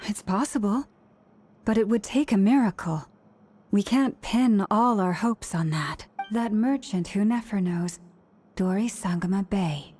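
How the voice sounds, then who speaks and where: An actress playing a composed, serious young female leader in an anime-style game, measured and earnest. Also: mid-range voice, mellow, breathy edge, soft background music. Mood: serious, resolute.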